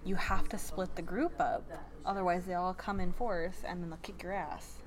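A woman's voice: lowish voice, steady tone, moderate pace, a crowd in the background. A woman co-hosting a podcast, chatting casually. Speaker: podcast co-host. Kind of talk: casual chat.

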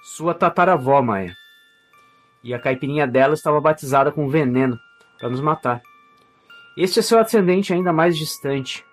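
An actor with a Brazilian accent playing an earnest, scornful hunter of necromancers in an audio drama, grave and explanatory. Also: male voice, fairly high pitch, expressive, normal speed, faint music behind.

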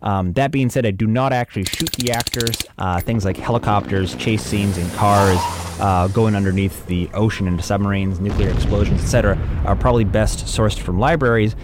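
A man's voice: lowish voice, steady tone, fairly quick, noisy background. A man giving informal, chatty instruction as an audio drama producer, with wry humour.

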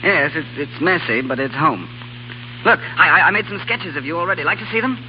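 An actor playing a brash, flirtatious, impulsive bohemian sculptor in a 1930s radio drama. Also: male voice, on the high side, expressive, a little fast, lightly acted. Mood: nervous, eager. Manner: impulsive, stammering when flustered, bossy. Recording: muffled, noisy 1930s radio recording.

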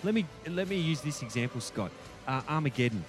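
A man with a fairly high-pitched voice talks through a film review as a podcast co-host. He rambles thoughtfully and hedges as he goes. Soft music plays faintly behind him. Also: expressive, brisk. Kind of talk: casual movie review chat.

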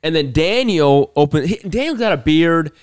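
A man talks through a meandering story on a podcast, pausing and restarting often. He's sick, and his throat is worn. His voice stays smooth and resonant, recorded close and dry. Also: fairly high pitch, animated, no echo. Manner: rambling storyteller, many restarts and fillers. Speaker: podcast co-host. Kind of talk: casual chat.

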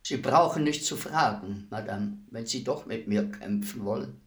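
An actor playing a solemn, courteous executioner in a German radio play, speaking formally and deliberately. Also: male voice, on the low side, lightly acted. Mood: quiet, courteous. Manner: formal, measured, deliberate delivery.

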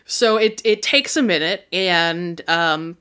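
A woman with a lowish voice, narrating a tabletop game as game master. She talks casually, hesitating with fillers and correcting herself as she goes. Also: takes it slowly, sharp tone, clear, resonant tone.

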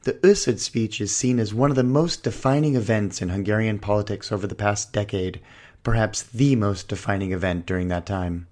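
A man hosting a politics podcast, reading a scripted intro with a hoarse voice, then interviewing a guest. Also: on the low side, fairly quick, hardly any pauses, darkish tone. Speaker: podcast host.

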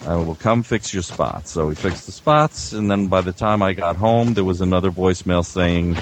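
A middle-aged or older man, an audio engineer guest, casually telling stories in a wry, halting way. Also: deep voice, a little hiss or hum.

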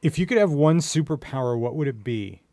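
A man hosting a podcast, putting casual quick-fire questions to a guest in a playful, teasing way. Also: on the high side, expressive, warm tone, no echo. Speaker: podcast host.

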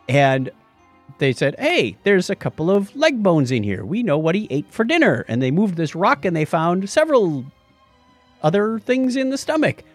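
A man's voice: on the high side, no echo. A man hosting a podcast, chatting casually and explaining science news in a conversational way. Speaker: podcast host.

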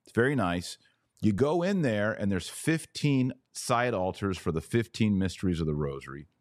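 A man's voice: fairly low pitch, animated, normal speed, dry recording, studio quality. A man hosting a podcast in casual, conversational commentary with a blunt, opinionated way of talking. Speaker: podcast host.

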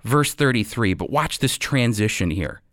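Lively male radio Bible teacher, dry sound. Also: medium pitch, normal speed, no echo. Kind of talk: radio sermon, Bible teaching with scripture reading.

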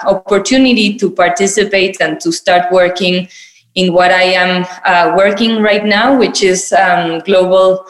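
A woman's voice: mid-range voice, echoey room. A woman from Mexico telling her own story, thoughtful and hesitant with many fillers. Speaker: guest. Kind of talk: personal introduction in a conversation.

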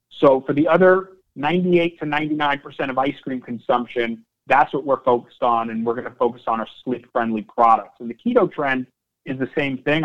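A man speaking as a company executive on an investor call, answering questions in semi-prepared remarks and repeating phrases for emphasis. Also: medium pitch. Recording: dull, band-limited conference call audio.

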